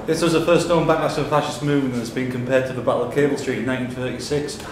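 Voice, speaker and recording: A man's voice: medium pitch, fairly even intonation, racing. Man reading quiz questions in an echoing pub. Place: indoors in a pub room. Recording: roomy pub recording, chatter around. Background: people talking in the pub.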